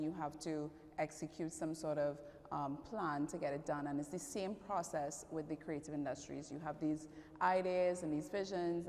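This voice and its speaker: Low-voiced Trinidadian woman, long hall reverb. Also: dark tone. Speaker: interview guest, fashion and public relations professional. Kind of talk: conversational interview answers. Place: large echoing indoor event venue.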